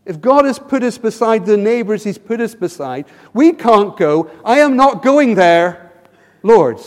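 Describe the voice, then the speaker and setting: An English man preaching with a high voice, earnest and rhetorical, who often restarts his phrases. His sermon rings with the long reverb of a church hall. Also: big pitch swings, unhurried. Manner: earnest, rhetorical questions, repeats words when starting phrases.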